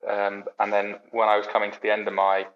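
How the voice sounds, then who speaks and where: Young UK man, chatty podcast guest, low voice, rambling and self-deprecating, muffled lo-fi recording. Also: monotone, a little fast. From United Kingdom. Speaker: podcast guest, racing engineer. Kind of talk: casual chat.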